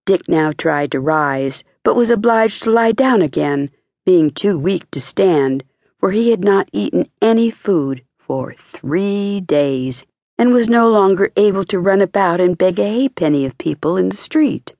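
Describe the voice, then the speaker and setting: A woman reading a children's fairy tale as an expressive audiobook narrator. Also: mid-range voice, a little slow, dry recording. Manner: expressive storytelling.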